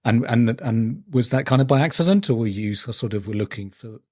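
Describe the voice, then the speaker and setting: A man hosting a running podcast, asking questions in a halting, stumbling way. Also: mid-range voice, a little mellow, no room sound, studio quality. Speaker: podcast host. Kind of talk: casual podcast interview.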